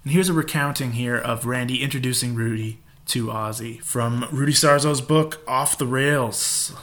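A man's voice: mid-range voice, normal speed, a bit bright. A man hosting a podcast in a casual monologue, irreverent and profane, with laughing asides. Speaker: podcast host.

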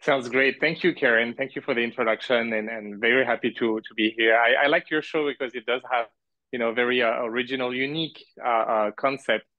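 A man who grew up in France, a podcast guest telling his story casually in a halting, rambling way full of asides. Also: mid-range voice, fairly even intonation, no room sound. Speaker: podcast guest, business owner. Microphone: remote call. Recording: dull lo-fi audio, close and dry.

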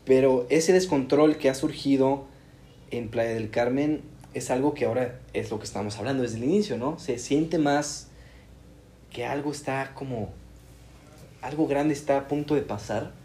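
A man's voice: mid-range voice, normal speed, warm tone, slight background noise. A Mexican man in casual chat, reflecting and explaining at length.

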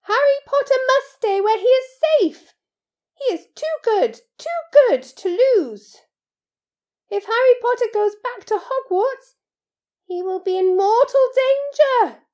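Lady doing a tiny squeaky house elf guy, super high, grovelling and pleading, voice swoops all over, storybook. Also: expressive, slow pace, a little mellow, full character voice. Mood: fearful, pleading. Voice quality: squeaky, breathy with emotion. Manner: servile, refers to himself in third person, pleading. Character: squeaky, servile, fearful, devoted small male house-elf.

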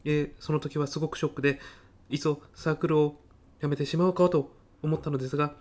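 A man's voice: on the high side, fairly even intonation, quick pace, a little mellow, lightly acted. Man acting as earnest, nervous student jobseeker. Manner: stiff, hesitant, rehearsed-sounding polite speech. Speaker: job applicant. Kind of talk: audio comedy sketch acting.

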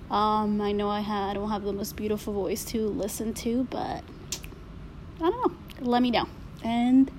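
A woman's voice: medium pitch, darkish tone, a little hiss or hum. A woman with an accent, talking casually and reflectively to listeners, full of fillers and frequent swearing. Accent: non-native accent.

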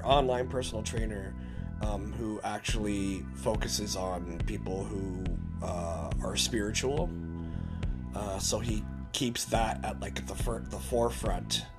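A man hosting a show with a casual, unscripted introduction, halting and hesitant, with long filled pauses. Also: mid-range voice. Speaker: show host.

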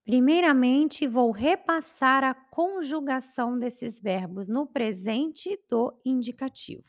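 A woman's voice: sits high, slow pace. A Brazilian woman with a Brazilian Portuguese accent teaching grammar, carefully enunciating each conjugation. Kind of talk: slow, clear grammar lesson.

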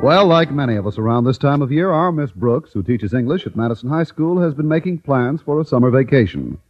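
A man's voice: mid-range voice, animated, a little fast, no echo, soft background music. A man working as a 1950s radio announcer, reading scripted advertising and narration in an emphatic, formal manner. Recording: dull, lo-fi old radio recording.

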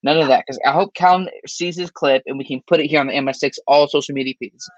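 A man's voice: on the high side, fast, no room sound. Dry, lo-fi man teasing his co-host. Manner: animated, teasing and emphatic. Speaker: sports podcast co-host. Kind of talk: casual sports talk sign-off. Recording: dry, dull lo-fi mic.

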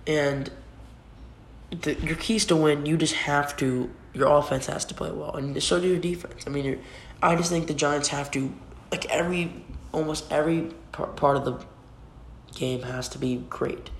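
Gravelly young man with a cold. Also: fairly high pitch, fairly even intonation, moderate pace. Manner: rambling, restarts often, informal. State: has a cold. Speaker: sports podcast host. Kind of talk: casual solo sports predictions. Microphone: computer mic. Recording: echoey room with faint noise.

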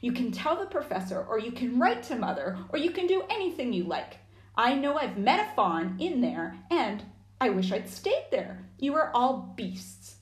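Lady reading as a little girl, high and stubborn, storybook voice. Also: big pitch swings, moderate pace, roomy sound. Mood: defiant. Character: young girl, earnest and insistent.

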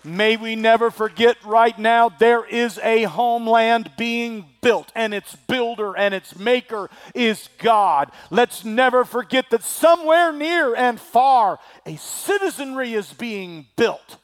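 A male preacher with a slightly scratchy throat, delivering a sermon that swings from loud declaiming to quiet asides. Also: extremely high pitch, slow pace, few pauses.

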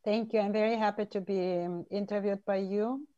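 A woman's voice: medium pitch, steady tone, moderate pace, warm tone, no echo, highs cut off. Earnest Bulgarian-born woman in her fifties. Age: 50s. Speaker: interview guest. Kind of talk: personal storytelling in an interview.

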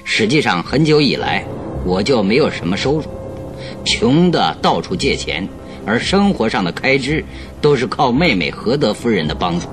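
Animated, masterful detective in radio drama. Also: male voice, lowish voice, normal speed. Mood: confident, expository. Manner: masterful, courteous, expository. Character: confident male detective expounding his deductions. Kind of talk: Chinese radio drama acting. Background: background music.

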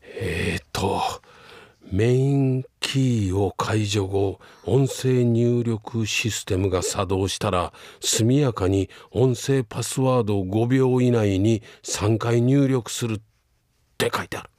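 An actor with a Kansai accent playing a panicky male researcher fleeing zombies in a comedic radio drama, urgent and excitable. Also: fairly low pitch, slow pace, dry recording, clean studio sound. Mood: explanatory, grave.

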